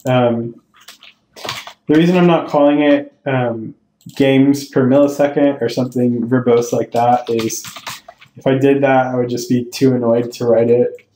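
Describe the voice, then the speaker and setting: A man's voice: mid-range voice, fairly even intonation, a little slow, warm tone, roomy sound, slight background noise, poor audio. A man teaching programming over a screen recording, thinking aloud and correcting himself as he explains each step. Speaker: programming tutorial host. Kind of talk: screen-recorded coding tutorial. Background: keyboard typing and clicks.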